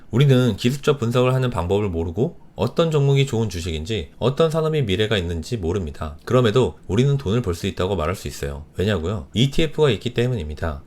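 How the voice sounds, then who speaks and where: A man hoarse from a cold talks quickly through a beginner's tutorial. His pitch moves around a lot as he explains each term. He speaks plainly and straight to newcomers. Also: a little mellow. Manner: clear explanatory, addresses beginners. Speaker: finance channel host. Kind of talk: beginner investing tutorial voice-over.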